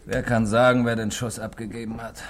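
An actor in a 1960s German radio play, playing a tipsy, world-weary drinking companion who muses theatrically and swings from mutters to loud calls. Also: male voice, fairly low pitch, level tone, a little fast, lightly acted. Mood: brooding, agitated. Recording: noisy old 1960s radio recording.

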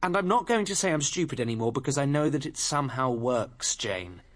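Man acting an earnest, animated young British composer. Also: mid-range voice, fairly quick, natural voice. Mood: earnest, hesitant. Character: earnest, insecure, impassioned young male composer. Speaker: actor in a radio drama. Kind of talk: radio drama acting.

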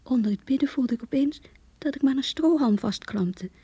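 A woman's voice: high voice, lively intonation, fairly quick, dark tone, breathy edge, no echo, dull audio, full character voice. An actress playing an earnest, lovesick young woman who confides her diary in a Dutch radio play. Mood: hurt, sorrowful. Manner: earnest, intimate.

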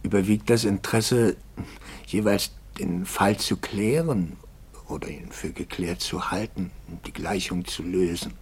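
An actor playing a troubled, anxious priest in a 1950s German radio drama, brooding and articulate, moving between hushed and impassioned speech. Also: male voice, sits low, measured pace, breathy edge. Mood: probing. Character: troubled, anxious priest, intense and probing, quietly bitter.

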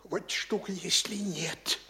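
A timid, easily flustered school superintendent for a classic radio play, stammering and tripping over every word. His voice is pinched and high, and he talks slowly. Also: steady tone, pushed and bright, heavily acted. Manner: stammering, tongue-tied, frightened.

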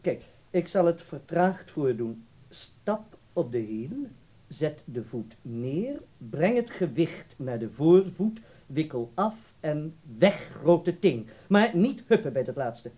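An actor in a Dutch radio drama playing a blunt, self-assured ballet teacher giving clipped, emphatic instructions. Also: male voice, a little high, very animated, slow, mellow, exaggerated voice. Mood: blunt, instructing.

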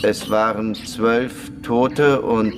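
A man playing a simple, remorseless prisoner in a German radio play, speaking in a deadpan, halting way. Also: lowish voice, background noise. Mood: deadpan confessing. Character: simple, matter-of-fact male prisoner, remorseless village bomber.

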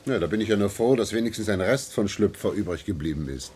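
An actor playing a weary, stubborn husband in a German science-fiction radio drama, emphatic and irritable. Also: male voice, fairly low pitch, expressive, fast talker, darkish tone, natural voice. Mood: baffled, indignant. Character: weary, resentful husband and office worker, stubbornly refusing. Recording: noisy vintage radio play recording.

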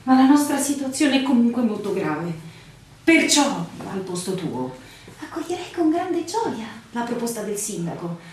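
An actress playing an anxious, devoted young fiancée in a radio drama, earnest and pleading in a theatrical way. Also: female voice, on the high side, very animated, moderate pace, a little mellow. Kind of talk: Italian radio drama acting. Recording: very echoey, distant, faintly noisy recording.

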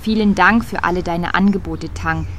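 A woman's voice: lowish voice, steady tone, normal speed, fairly bright tone, natural voice. An actress playing a self-justifying single career woman in an audio drama, speaking in an articulate, theatrical way. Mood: defiant musing. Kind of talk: audio drama monologue and dialogue. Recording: echoey room, faint background noise.

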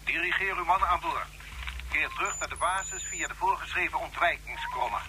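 A piercingly bright, higher-pitched headquarters officer, heard through a radio transmission filter. He gives orders curtly and officially, in the manner of a sci-fi radio play. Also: a little fast. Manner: curt, official. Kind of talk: science fiction radio drama acting.

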